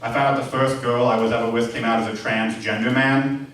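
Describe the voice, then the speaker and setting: A man's voice: mid-range voice, fairly even intonation, fairly quick. A man in his twenties doing a stand-up comedy set, self-deprecating and awkward, with a speech impediment and lots of "like.". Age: 20s. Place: comedy club stage. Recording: echoey room, far from the mic, loud crowd noise. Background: audience laughter.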